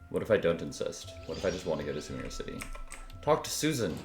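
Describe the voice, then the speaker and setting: A woman's voice: very deep voice, very animated, fast. A woman giving casual live commentary as she plays a video game, with wry, offhand quips and short reactions. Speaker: game streamer. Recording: roomy echo, game music behind. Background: video game music.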